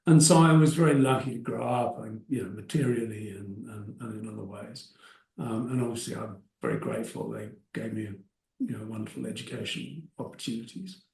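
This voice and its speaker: A man's voice: on the low side, lively intonation, a little fast, creaky, darkish tone. A middle-aged man with an Australian accent, giving thoughtful, hesitant interview answers as an expert witness with frequent restarts. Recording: echoey room, mic at a distance.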